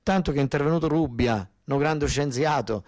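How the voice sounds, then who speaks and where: A man's voice: on the high side, brisk, a little mellow. Southern Italian man, insistent theatrical storyteller. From Basilicata, southern Italy. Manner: colloquial, insistent, repeating phrases for emphasis. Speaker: actor-storyteller. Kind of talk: narrative theatre monologue.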